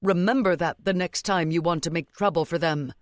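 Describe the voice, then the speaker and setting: A woman's voice: fairly low pitch, no echo, studio quality, natural voice. Woman narrator as a cool, menacing family matriarch. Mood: cool warning. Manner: cool, calm, measured, menacing. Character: stern, coolly angry Indian mother-in-law, family matriarch.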